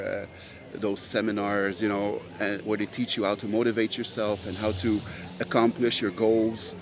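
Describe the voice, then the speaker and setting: A man's voice: fairly low pitch, slight background noise. A man whose first language is French, hosting his own podcast in an earnest, rambling solo monologue full of fillers. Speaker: podcast host.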